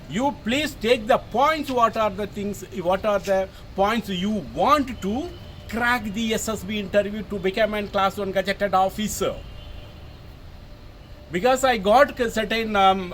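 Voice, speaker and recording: A man's voice: sits high, a bit bright, low-quality recording. An older man with a Tamil Indian English accent, a native Tamil speaker, giving emphatic informal guidance to camera as a retired officer, often repeating his phrases. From Tamil Nadu, India. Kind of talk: informal instructional talk to camera. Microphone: mobile phone camera. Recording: phone recording with background noise.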